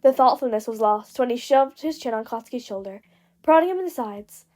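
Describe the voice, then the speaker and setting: A woman reading a piece of fiction aloud as narrator, with expressive scripted delivery. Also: a little high, a little fast. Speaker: podfic narrator.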